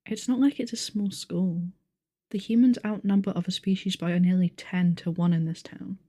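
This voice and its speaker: A woman's voice: fairly low pitch, fairly even intonation, normal speed, dark tone, dry recording, lightly acted. A woman playing a strict, blunt game master of a nonhuman species, matter-of-fact and pedantic, in an ASMR audio roleplay. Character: strict, blunt, rule-following game master with sensitive ears, female nonhuman.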